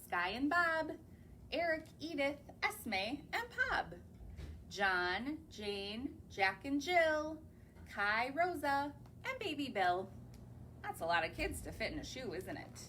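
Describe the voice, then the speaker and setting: Animated sing-song woman reading to kids. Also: sits high, takes it slowly, a little hiss or hum. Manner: sing-song, asks the listening children questions. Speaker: children's librarian. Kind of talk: reading picture books aloud to young children. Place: public library.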